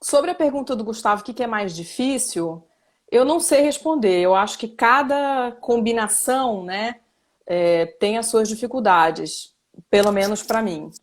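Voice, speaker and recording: Brazilian woman interpreter explaining, higher voice. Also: lively intonation, moderate pace. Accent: Brazilian Portuguese. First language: Portuguese. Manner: reflective, explains with examples and restarts. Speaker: simultaneous interpreter and journalist, guest. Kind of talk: casual live interview.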